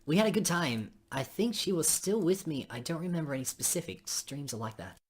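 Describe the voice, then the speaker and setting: A woman streamer with a remarkably low voice reads visual novel dialogue aloud. Her tone is husky and unclean around the edges, and she slips between the script and casual commentary. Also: animated, brisk, a little mellow. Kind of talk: reading game dialogue aloud with casual asides.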